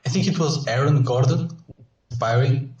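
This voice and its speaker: A man's voice: medium pitch, normal speed, a little mellow, reverberant, distant mic, low-quality recording. A man chatting casually and a little wryly as a panellist on a sports podcast.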